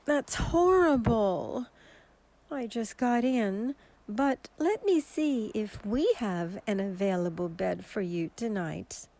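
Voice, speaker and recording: A woman playing a kindly, sympathetic shelter volunteer in a radio drama, gentle and solicitous. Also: mid-range voice, expressive, slow pace, poor audio.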